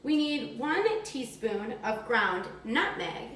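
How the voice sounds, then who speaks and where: Slow-paced woman teaching children, echoey room. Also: fairly high pitch, sing-song, fairly bright tone. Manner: simple, encouraging, instructional talk aimed at children. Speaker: teacher demonstrating a recipe for children. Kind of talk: step-by-step cooking demonstration for young children. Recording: distant mic, echoey room, faint noise.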